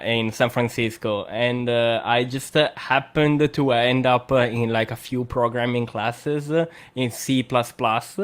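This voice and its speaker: A young adult man with an Italian accent speaks in a medium-pitched voice. He talks casually, as a co-host would on a podcast, feeling out his phrases in a halting, hesitant way. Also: fairly bright tone. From Italy. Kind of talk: casual conversational podcast talk.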